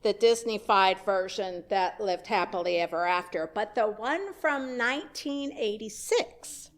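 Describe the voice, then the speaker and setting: A woman's voice: mid-range voice, animated, unhurried. A woman minister giving a sermon in a church, telling a story in a conversational way with wry asides. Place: church sanctuary.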